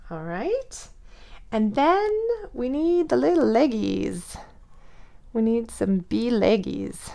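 A woman preschool teacher reading and instructing young children in an expressive, child-directed way. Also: a little high, slow, creaky. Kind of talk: children's read-aloud and drawing lesson.

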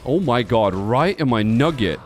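A man's voice: medium pitch, expressive, normal speed, faint music behind. A young man from Sweden whose first language is Swedish, chatting casually and bluntly with his viewers while he plays, with plenty of swearing. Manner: blunt and profane, talks straight to chat. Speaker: game streamer. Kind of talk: casual chat while playing.

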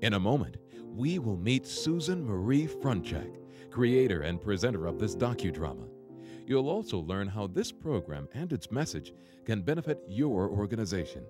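A man giving scripted documentary narration in a smooth, measured delivery. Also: on the low side, very animated. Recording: voice-over with clear music bed.

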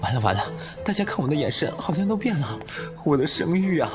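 Actor as young college man, radio drama: indignant, flustered, very animated delivery, music behind. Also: a little high, quick pace. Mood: exasperated. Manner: flustered, protesting. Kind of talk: Chinese audio drama acting.

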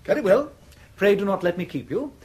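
An actor playing a pompous, aggrieved private secretary with an English accent, speaking in a stiff, formal way in a radio comedy. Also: male voice, sits high, sing-song, fairly quick, a little mellow, breathy edge, full character voice. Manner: formal, stiff, self-important. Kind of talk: radio comedy drama acting.

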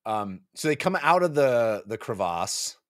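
A man's voice: fairly low pitch, sing-song, measured pace, no room sound, studio quality. A man in his forties co-hosting a book club show, recapping a story descriptively in casual chat and sometimes acting out a character's line. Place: home office, on a video call. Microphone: desk microphone, close.

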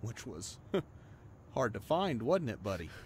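A man's voice: mid-range voice, very animated, takes it slowly, a little hiss or hum. A man playing a defiant young tough in an audio drama, sarcastic and cutting as he goads a rival. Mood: goading. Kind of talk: audio roleplay acting.

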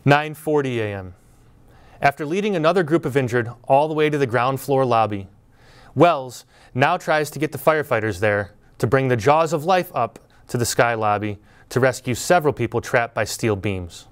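A middle-aged man giving a prepared graduation speech from a podium, telling a story in a measured, earnest way. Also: mid-range voice, expressive, clean tone. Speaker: school speaker at graduation. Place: indoor studio before green backdrop.

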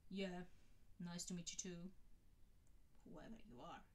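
A woman's voice: on the low side, measured pace, slight background noise, natural voice. A woman voicing a reserved, polite young wizard man who mutters his words, in an audiobook reading. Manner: muttered, reticent.

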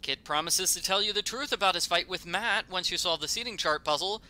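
A man's voice: high-pitched, fairly quick, heavy background noise. A man delivering scripted, sarcastic, mock-indignant narration that nitpicks a video game. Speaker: video critic.

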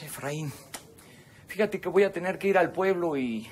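An earnest, worried Mexican family man acted by a man in a telenovela, insistent in tone. His voice is high for a man, over faint room noise. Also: moderate pace, lightly acted. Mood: businesslike. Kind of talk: telenovela acting. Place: hotel room.

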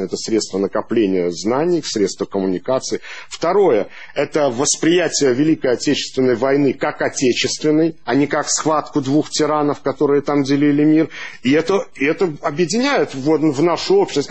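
A man's voice: on the high side, normal speed, a little mellow, husky. A man in his fifties, from Latvia, giving an unscripted talk on a panel in a large conference room, speaking into a table microphone with hesitant restarts and fillers. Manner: hesitant, many restarts and fillers, gestures while talking.

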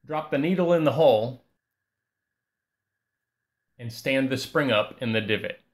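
A man giving a calm, scripted voice-over that walks through a repair step by step. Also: medium pitch, a little slow. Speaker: repair channel host.

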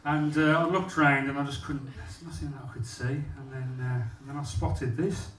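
A man's voice: mid-range voice, flat delivery, normal speed, a bit bright, far from the mic, slight background noise. A man, telling a blunt, self-mocking stand-up story on a comedy club stage into a handheld mic. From England. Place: comedy club stage, small venue with live audience. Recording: echoey room, mic off-axis, audience laughter.

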